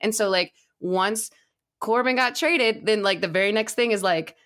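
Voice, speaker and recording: A woman's voice: mid-range voice, normal speed, fairly bright tone. A woman chatting casually and enthusiastically as a co-host of a baseball fan podcast. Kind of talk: casual chat.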